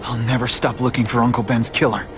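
An actor with an American accent playing a young, wry, wisecracking but grieving superhero in a video game. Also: male voice, mid-range voice, little pitch movement, fast talker, natural voice. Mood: somber, determined. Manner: wry, quippy. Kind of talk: video game voice acting, narration and banter. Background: music.

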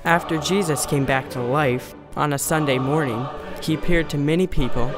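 Man as higher-pitched earnest Bible storyteller. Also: normal speed, music in the background, natural voice. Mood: earnest storytelling. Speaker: narrator and storyteller. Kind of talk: scripted narration in amateur radio drama.

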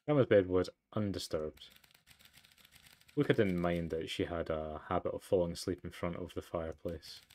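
Low-voiced man playing a story game. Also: normal speed, a little mellow. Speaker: let's player. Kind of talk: game commentary and reading narration aloud. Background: game music.

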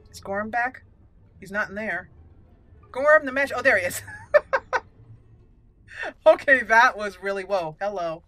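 Gamer lady doing let's play commentary, bright voice, thinks out loud, faint game music under her. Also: mid-range voice, animated, measured pace, pauses often. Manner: chatty, reactive, thinks aloud. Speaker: gaming channel host.

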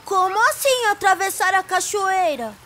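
A woman's voice: very high-pitched, expressive, normal speed, over loud music. A woman with a Brazilian accent voicing a sensible, studious little boy owl in a children's cartoon, childlike and earnest. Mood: reassuring.

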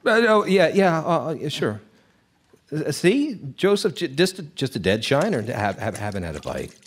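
A man playing a nervous, hesitant small-town man in a live audio drama, stammering and halting. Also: fairly high pitch, sing-song, a little hiss or hum. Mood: flustered.

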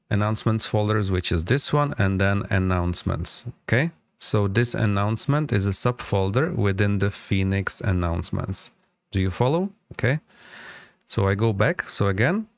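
Guy walking thru a software tutorial step by step, lowish voice, really dry close sound, keeps checking you follow. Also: no echo. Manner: instructive, checks in with frequent "okay?". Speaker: tutorial host.

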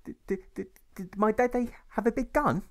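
A man's voice: sits high, normal speed, voice pushed up. A man voicing a timid young boy who stutters and begs to hear about his father. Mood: pleading, eager.

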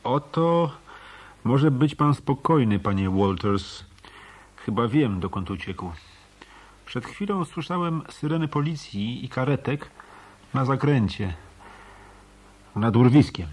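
Blunt, husky-voiced hotel detective. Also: male voice, mid-range voice, expressive, slow, long pauses, darkish tone. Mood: matter-of-fact. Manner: sardonic, blunt. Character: weary, scornful male hotel detective. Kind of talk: radio play acting.

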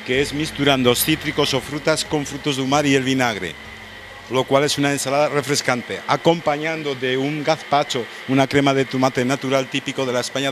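A man's voice: mid-range voice, noisy background. A chef with a Spanish accent, a native Spanish speaker, being interviewed with a handheld mic in a restaurant kitchen and drifting between Portuguese and Spanish as he explains his menu. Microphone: handheld reporter mic.

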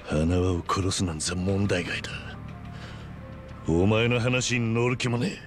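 A stoic, hardened yakuza in middle age for a video game. His voice sits low and he talks in terse, rough, masculine phrases. Dramatic music plays beneath him. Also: measured pace. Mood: angry, forceful. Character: stoic, gruff middle-aged legendary male yakuza living in hiding.

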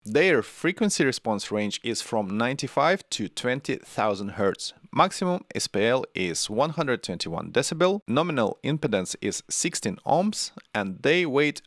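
Male tech host, forties, scripted presenter read, very varied intonation, deliberate phrasing, tight dry studio mic. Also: medium pitch, sing-song, fairly bright tone, no room sound. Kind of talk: scripted narration, product review. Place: small home studio room, indoors. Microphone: close broadcast microphone on arm.

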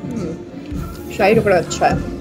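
A woman's voice: medium pitch, normal speed. A young adult woman with an Indian accent chatting casually as she eats and reviews food in a restaurant. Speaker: food vlogger. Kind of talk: casual chat while eating. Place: indoor restaurant. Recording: loud music over restaurant talk.

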